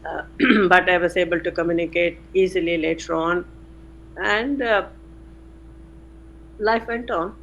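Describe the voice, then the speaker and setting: Older woman, gravelly voice. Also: lowish voice, fairly even intonation, fairly bright tone, a little hiss or hum, poor audio. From India. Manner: unhurried, many "uh" pauses, self-corrects. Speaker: guest, retired chemistry professor. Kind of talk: reflective interview answers.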